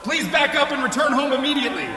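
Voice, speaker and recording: A man's voice: extremely high pitch, animated, fast, raised voice. A man playing an anxious, commanding border officer in a dramatised emergency scenario, barking orders. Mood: stern, shouting. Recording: echoing, reverberant space with crowd noise.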